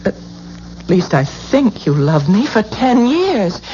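Pleading wife, dramatic swooping voice. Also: female voice, mid-range voice, very animated, takes it slowly, warm tone. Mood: hurt, demanding. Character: bewildered, pleading wife confronting her husband. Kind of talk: 1970s radio drama acting. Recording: muffled, faintly hissy old radio recording.

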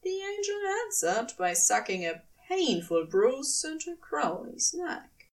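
A woman reading fiction aloud as a narrator, giving an expressive scripted performance. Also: high voice, slow, distant mic. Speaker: podfic reader and narrator.

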